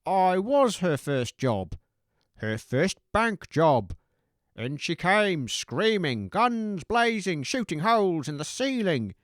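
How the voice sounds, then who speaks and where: A man playing a nervous, accusing bank manager in a comedy audio sketch, with a dramatic, deliberate delivery. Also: high-pitched, sing-song, no room sound, studio quality, exaggerated voice.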